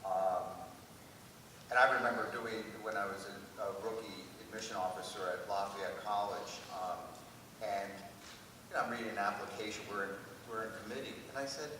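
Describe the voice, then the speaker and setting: A man's voice: lowish voice, moderate pace, sharp tone. A man speaking informally as an admissions officer in a panel discussion, in a conversational, anecdotal way. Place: large room at a public panel event. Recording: echoey hall from far off, noisy.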